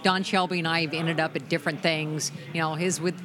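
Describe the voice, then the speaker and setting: A middle-aged woman with a deep voice, a musician guest chatting loosely in an interview, full of restarts and "you know". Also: level tone, brisk, a crowd in the background. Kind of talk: casual interview chat.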